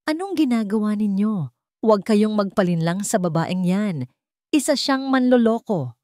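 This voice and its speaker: A woman's voice: mid-range voice, expressive, moderate pace, dark tone, dry recording, studio quality, pitched above the performer's own voice, lightly acted. A woman voicing a desperate, resentful young man caught scheming in an audio drama story. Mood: alarmed, accusing. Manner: protesting, resentful.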